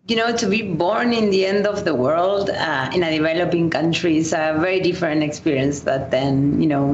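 A woman in her thirties from Argentina, a guest in an interview, speaking thoughtfully with fillers and restarts. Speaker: guest entrepreneur. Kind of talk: conversational interview. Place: studio table in a coworking space. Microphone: boom mic on the table. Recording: echoey, dull, faint rumble.

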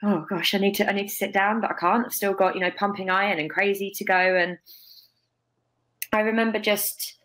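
A young woman, a guest telling emphatic, expressive stories over a video call from a bright room. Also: medium pitch, fairly quick, low-quality recording. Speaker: guest, stage performer. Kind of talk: casual interview.

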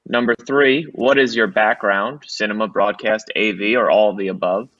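A man co-hosting a webinar over a video call, reading out poll questions in a relaxed, plain way. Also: lowish voice, normal speed, no room sound. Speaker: webinar co-host. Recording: dull distant video call audio.

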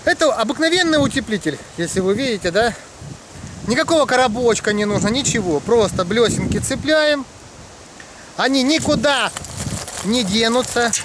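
Chatty man over loud wind. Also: extremely high pitch, expressive, moderate pace. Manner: chatty, explaining with lots of fillers. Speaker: fishing vlogger. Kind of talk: casual outdoor vlog. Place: outdoors by a northern lake. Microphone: head-mounted action camera. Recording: loud wind noise outdoors.

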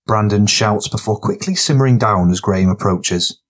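A man's voice: on the low side, moderate pace. A man reading scripted fiction narration as an audiobook narrator.

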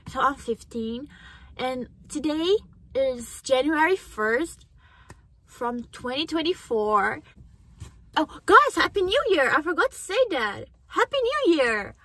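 Teenage girl, Brazilian Portuguese accent, slightly high voice, slow and searching for words, recorded in a car. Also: fairly bright tone, low-quality recording. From Japan. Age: teens. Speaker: vlogger. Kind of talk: casual chat to camera. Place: parked car, indoors. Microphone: phone camera on dashboard.

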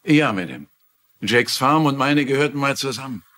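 An actor playing an earnest, plain-spoken rancher in a German-dubbed TV crime drama. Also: male voice, mid-range voice, lively intonation, moderate pace, sharp tone.

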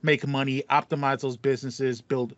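An American man co-hosts a casual gaming chat in a playful, slangy manner. His voice sits at a middle pitch. The home recording is very dry and slightly muffled. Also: flat delivery, moderate pace, no room sound. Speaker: podcast co-host. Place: small home room with acoustic foam, indoors.

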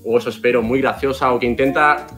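Man in his 20s, rapid and self-assured dating pitch, mid-pitched voice, faint music underneath over a video call. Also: steady tone. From Spain. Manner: fast, self-assured, chatty. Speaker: dating contestant. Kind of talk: casual self-introduction.

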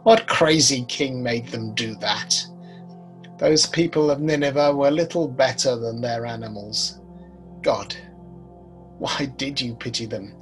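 A man's voice: mid-range voice, lightly acted, rough recording. Bitter old British prophet played by a man, music behind. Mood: bitter, reflective. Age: 60s. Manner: measured, deliberate, rueful. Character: bitter, weary old prophet recalling his past. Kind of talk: dramatic monologue. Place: home study, video recording.

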